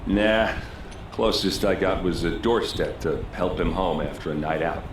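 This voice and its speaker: A gruff, hard-drinking guardsman with a low voice, blunt and defensive in his delivery. It is video game drama, echoey with clear ambient noise. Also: measured pace. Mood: guarded.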